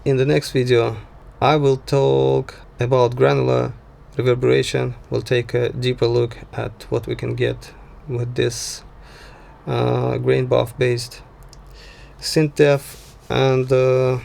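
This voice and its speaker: A man giving an informal software tutorial, speaking in halting, hesitant phrases as he instructs. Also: mid-range voice, level tone, creaky.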